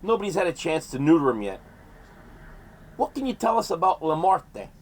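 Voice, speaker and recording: A man's voice: a little high, sing-song, normal speed. A man playing an exasperated, sarcastic monster hunter who talks in exclamations, in a comedy horror audio drama.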